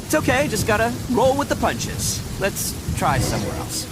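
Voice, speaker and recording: A boastful goofy oni braggart. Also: male voice, high voice, wildly swooping pitch, normal speed, very noisy. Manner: bragging, folksy and loud, hearty laughs. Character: brash young male oni, boastful and goofy. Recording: game soundtrack with music behind. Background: music.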